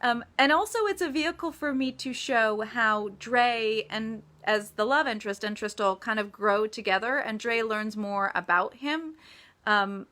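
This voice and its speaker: Bright-voiced woman chatting on a livestream. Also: a little high, unhurried. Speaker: author answering reader questions. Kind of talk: casual livestream Q&A chat.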